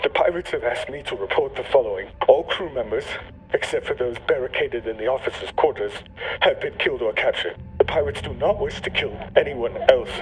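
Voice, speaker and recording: An actor playing a frightened soldier held hostage in a video game, relaying demands formally and under strain over a radio. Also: male voice, medium pitch. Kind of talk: video game character acting. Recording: noisy, crackling radio transmission.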